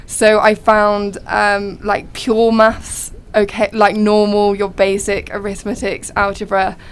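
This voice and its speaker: A young woman, a radio guest, chatting in a thoughtful, hesitant way with frequent pauses. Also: medium pitch, completely flat delivery, clear, resonant tone. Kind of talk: casual chat.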